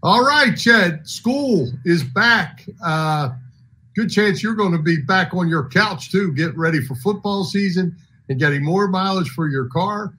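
A man's voice: high-pitched, expressive, a little slow, pushed and bright, slightly rough. A man co-hosting a casual sports talk podcast. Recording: background noise behind the voice.

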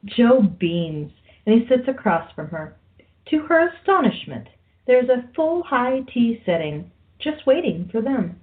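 A woman's voice: on the high side, big pitch swings, unhurried. A woman reading scripted narration for a radio play. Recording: dull lo-fi audio.

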